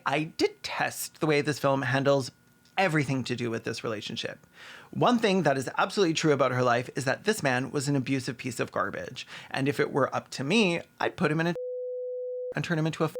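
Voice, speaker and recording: A man's voice: medium pitch, lively intonation, brisk, a bit bright. A man delivering sarcastic recap commentary as a video host, mocking what he describes and acting out bits of dialogue. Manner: sardonic, mocking, acts out dialogue.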